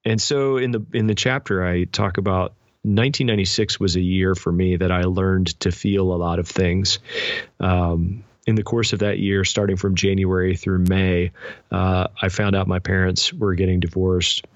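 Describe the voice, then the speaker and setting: A middle-aged man with a low voice talks thoughtfully in an interview, illustrating his points as he goes. The recording is dry and close, with a slightly dull top end. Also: flat delivery, normal speed, no room sound. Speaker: podcast guest, spiritual director and author. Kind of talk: reflective interview conversation.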